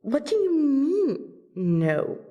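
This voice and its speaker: Woman as a slow, teasing vampire royal. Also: on the low side, dull audio, exaggerated voice. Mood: flustered, reluctant. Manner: teasing, courtly, slow and breathy. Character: flirtatious, teasing female vampire royal, protective friend. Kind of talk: ASMR roleplay acting.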